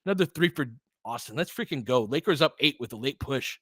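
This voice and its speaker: Male basketball play-by-play host, mid-pitched, informal fan-side delivery, excitable on big plays, very dry recording. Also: fairly quick, breathy edge, dry recording. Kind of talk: live basketball commentary and analysis.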